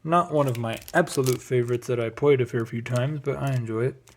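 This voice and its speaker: A man's voice: mid-range voice, fairly quick, a little hiss or hum. A man giving a casual, unscripted show-and-tell as a collector, rambling along with hesitant asides. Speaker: record collector presenting a collection.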